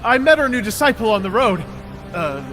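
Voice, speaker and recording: Very high-pitched, flustered young disciple. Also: male voice, animated, fairly quick. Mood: nervous bluffing. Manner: frantic, stammering, exclaiming. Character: excitable, flustered, eager young male disciple. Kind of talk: video game cutscene acting.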